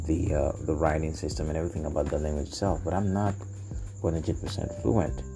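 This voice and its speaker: Very deep-voiced Haitian man, halting podcast talk. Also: steady tone, creaky, over music. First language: Haitian Creole. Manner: halting, searching for words, repeating phrases. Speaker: podcast host and language learner. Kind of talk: unscripted solo podcast talk.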